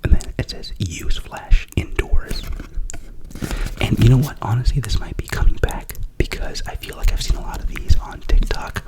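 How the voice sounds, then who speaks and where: A man's voice: medium pitch, moderate pace, low-quality recording. Whispering man, ASMR unboxing host. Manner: rambling, chatty whisper with self-corrections. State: sick with a cough.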